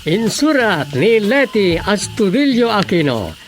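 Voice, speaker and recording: A man's voice: high voice, big pitch swings, measured pace, faint music behind. A Filipino man announcing a radio drama in scripted broadcast narration.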